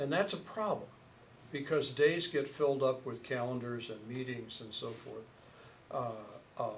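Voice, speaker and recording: A man in his sixties with an American accent, a lecturer thinking aloud in halting phrases as he answers seminar questions. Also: mid-range voice, poor audio. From Chicago, United States. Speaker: lecturer, education researcher. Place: seminar room, at a wooden podium. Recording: noticeable background room noise.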